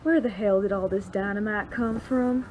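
A woman's voice: mid-range voice, fairly even intonation, normal speed, darkish tone, lo-fi sound, a little hiss or hum, natural voice. A woman playing a callous, dismissive outlaw gang boss in a western audio drama. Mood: menacing. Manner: menacing, dismissive. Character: cold, callous male outlaw gang boss, former sheriff.

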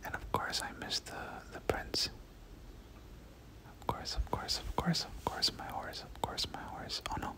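Whispered ASMR gaming commentary. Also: unhurried. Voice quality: breathy whisper. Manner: repeats words and short phrases over and over. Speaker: gaming video host.

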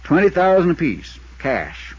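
A man's voice: on the high side, wildly swooping pitch, husky, heavily acted. Folksy insurance man, muffled old radio. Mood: wry, explanatory. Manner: folksy, drawn-out storytelling. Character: folksy, long-winded small-town Arizona insurance agent. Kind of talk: 1950s radio drama acting. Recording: muffled 1950s radio recording.

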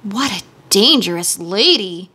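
A woman voicing a friendly, calm male scarecrow with a mild, reassuring manner in a storybook. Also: mid-range voice, unhurried, husky, pitched below the performer's own voice, heavily acted.

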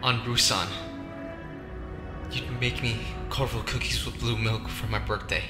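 Man acting a gentle pleading son, earnest, mid-pitched, echoing, audio drama. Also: level tone, unhurried, close to an everyday voice. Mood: sorrowful, earnest. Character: long-lost grown son, gentle, pleading with his father. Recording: echoey voice under loud music.

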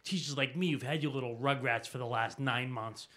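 A middle-aged man doing an energetic, punchy stand-up set on a small TV studio stage, into a handheld mic. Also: mid-range voice, brisk. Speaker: stand-up comedian. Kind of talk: stand-up routine.

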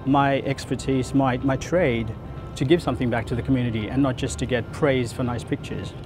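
A thoughtful man in his thirties, originally from Fiji, talks about his work in an earnest, unhurried way. His voice sits at an ordinary middle pitch, close on a lapel mic outdoors. Music plays clearly under him. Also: steady tone. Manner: earnest, thoughtful, repeats words as he thinks. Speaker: photographer and interview guest. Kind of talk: reflective interview answers. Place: outdoor terrace above a city.

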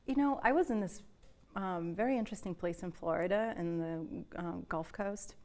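Chatty woman from Brazil, slightly gravelly voice. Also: fairly low pitch, moderate pace, darkish tone. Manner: chatty storyteller, laughs often. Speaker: interview guest. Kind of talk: casual interview chat. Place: dark television studio.

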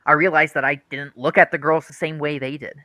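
A man's voice: on the high side, steady tone, fairly quick, bright, forceful tone, dry recording. An actor playing an earnest, troubled young man in a radio play, hesitant and confessional. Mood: confessional, embarrassed.

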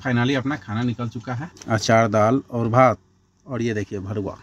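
A man's voice: mid-range voice, fairly even intonation, normal speed, a little hiss or hum. A man from India narrating a casual trucking and cooking vlog step by step, addressing viewers as friends. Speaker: truck driver vlogger.

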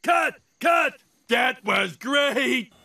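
Very high-pitched, bossy film director. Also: male voice, expressive, very slow, gravelly, bright, forceful tone, distant mic, heavily acted. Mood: delighted. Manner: barking orders, theatrical. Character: bossy, excitable older male film director. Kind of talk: cartoon voice acting.

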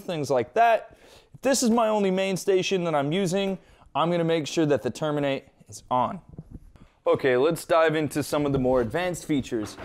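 A man's voice: fairly high pitch, a little mellow. A man presenting a hands-on equipment tutorial, explaining step by step in an informal, conversational way.

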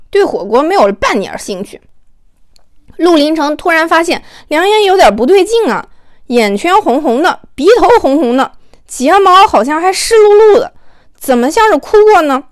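A woman narrating an audiobook in an expressive, conversational storytelling style. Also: high-pitched, no echo, studio quality.

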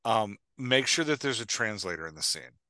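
A man in his thirties co-hosting a podcast over a video call on a headset mic, speaking emphatically and then dropping quiet. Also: fairly low pitch, lively intonation, normal speed, no echo. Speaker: podcast co-host. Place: home room.

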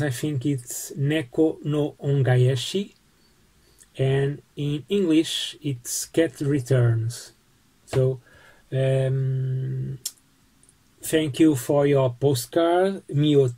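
A man in his forties with a Portuguese accent, casually showing his postcards at home and talking through each one with small pauses. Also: fairly high pitch, darkish tone. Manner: unhurried, friendly, pauses with fillers while showing items. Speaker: postcard collecting vlogger. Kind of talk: casual show-and-tell vlog. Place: small home room lined with bookshelves, indoors.

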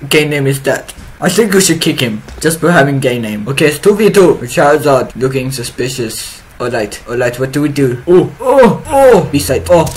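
A young man giving joking commentary over his own gameplay in a put-on, broken-English foreign accent, breaking into loud excited yelps. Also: medium pitch, normal speed, slight background noise. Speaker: streamer.

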